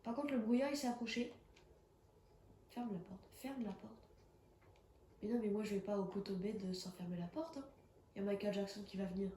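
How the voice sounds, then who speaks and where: A woman's voice: mid-range voice, normal speed, pauses often, warm tone, echoey room, a little hiss or hum. A young woman with a cold, chatting casually and reacting with jumpy exclamations as she plays a horror game. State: has a cold. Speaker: gaming streamer. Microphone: phone.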